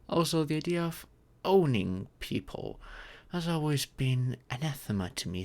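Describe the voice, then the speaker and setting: Male actor as stately, kindly dragon parent for audio roleplay: formal diction, unhurried delivery, expressive swings in pitch. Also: gravelly, heavily acted. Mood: calm, reassuring. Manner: formal, measured, theatrical, unhurried. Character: gentle, stately dragon adopting a sacrificed child. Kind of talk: audio roleplay addressed to the listener.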